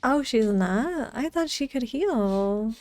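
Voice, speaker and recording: A woman streaming a game, chatting casually and reacting softly in a cooing, unhurried way, close to the microphone. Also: fairly high pitch, expressive, no echo.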